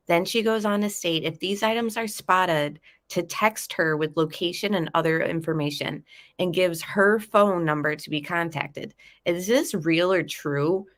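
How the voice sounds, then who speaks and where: A woman's voice: lowish voice, fairly bright tone. A woman with a stuffy, nasal voice from a cold, chatting casually with her viewers as she talks through a true crime case. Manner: chatty, addresses viewers as guys, fillers like um. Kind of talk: casual live chat commentary.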